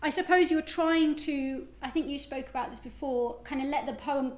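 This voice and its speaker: A high female voice rings in an echoey room. She is a poet chatting at a live literary event, informal in her phrasing and quick to play herself down. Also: a little mellow. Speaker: guest poet. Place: indoor public event venue.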